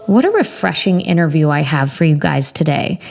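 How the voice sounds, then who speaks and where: Woman podcast host, enthusiastic and conversational, lowish pitch. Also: big pitch swings, fairly quick, vocal fry, a little mellow, faint music behind. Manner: enthusiastic, chatty. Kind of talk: podcast interview and intro.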